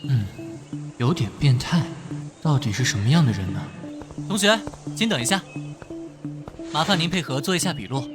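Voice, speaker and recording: A man's voice: medium pitch, animated, moderate pace, pauses often, music in the background, natural voice. A man playing an earnest, hot-tempered young police detective in a comic drama dub. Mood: pondering. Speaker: character voice in a dubbed comic drama.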